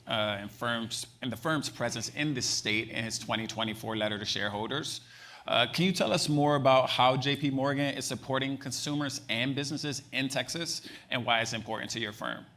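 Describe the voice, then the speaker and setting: A man moderating an on-stage panel speaks in a bright, clear voice at mid pitch. He reads prepared questions in a steady, even tone and fills the pauses with short affirming murmurs. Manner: brief affirming backchannels, reads questions from notes. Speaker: reporter and interview host. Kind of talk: moderating a live panel interview. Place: event stage.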